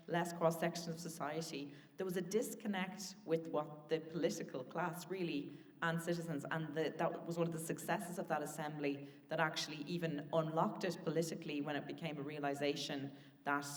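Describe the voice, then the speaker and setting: A woman with an Irish accent moderating a conference panel, speaking conversationally with some restarts. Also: low-pitched. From Ireland. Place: large conference hall. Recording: echoey hall, distant mic.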